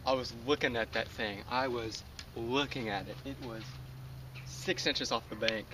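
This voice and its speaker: A young man, excitedly talking to the camera as a fishing vlogger while outdoors on a pond bank, often breathless and laughing. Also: mid-range voice, normal speed. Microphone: camera on a tripod some distance away. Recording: distant camera mic with outdoor noise.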